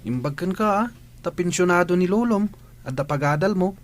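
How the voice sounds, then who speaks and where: A man's voice: high-pitched, animated, brisk. A man playing a casual, teasing young schoolmate in a radio drama. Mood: chatty teasing.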